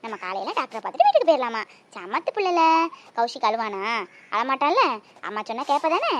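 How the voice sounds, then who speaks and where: A woman's voice: sits high, wildly swooping pitch, brisk, full character voice. A woman playing a young mother who fiercely guards her child. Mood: soothing. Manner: coaxing to her child, sharp when confronted. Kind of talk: Tamil drama acting.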